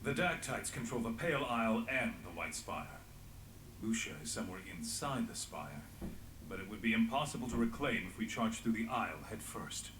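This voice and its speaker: An actor playing a grave, world-weary resistance leader in a fantasy game, speaking in measured lines. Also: male voice, fairly low pitch, very animated, echoey room, background noise. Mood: resolute. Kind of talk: dramatic fantasy game voice acting.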